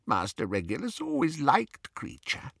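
A man voicing a wretched, groveling old male house-elf who wails shrilly or mutters in a muffled hush. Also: expressive, slow pace, no room sound, clean studio sound, full character voice. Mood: hushed lament. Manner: shrill and frantic when wailing, muffled and hushed when recounting. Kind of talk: audiobook character voice.

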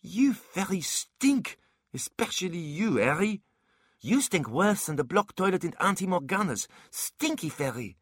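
A man's voice: high voice, sing-song, unhurried, slightly rough, dry recording, studio quality, pitched above the performer's own voice, heavily acted. A man voicing a hyperactive little boy in an audiobook, blunt, bossy and demanding. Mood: rude, blunt. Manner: bratty, bossy.

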